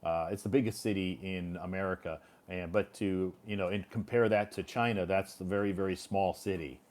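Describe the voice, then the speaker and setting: Chatty low-voiced male interviewer. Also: steady tone, normal speed, darkish tone. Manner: chatty, rambling, many restarts and fillers. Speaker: host. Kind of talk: casual conversational interview.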